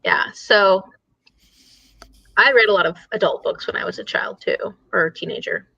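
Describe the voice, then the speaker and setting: A woman's voice: medium pitch, moderate pace, vocal fry, bright, forceful tone, husky, no room sound, dull audio. A woman hosting a casual book discussion in a conversational, discursive way. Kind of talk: casual chat.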